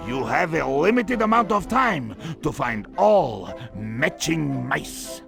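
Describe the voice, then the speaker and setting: An actor playing an eccentric, excitable circus master in a video game, with a theatrical Russian-style accent and broken English. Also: male voice, fairly high pitch, takes it slowly, creaky, music in the background, heavily acted. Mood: theatrical, welcoming. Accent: Eastern European, Russian-style. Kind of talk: animated video game character acting.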